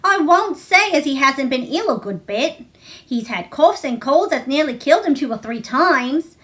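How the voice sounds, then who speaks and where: A young country housemaid from a storybook, played by a woman reader, high in pitch. She is blunt and gossipy, talks in rustic dialect and defers to her masters. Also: animated, normal speed, fairly bright tone.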